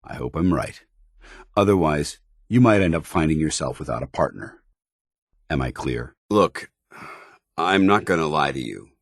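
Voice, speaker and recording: An actor playing a stern, duty-bound military commander in a video game, speaking formally with clipped authority. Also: male voice, very deep voice, expressive, normal speed, long pauses, no echo, exaggerated voice. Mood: earnest, hesitant. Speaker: commanding officer. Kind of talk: scripted video game dialogue.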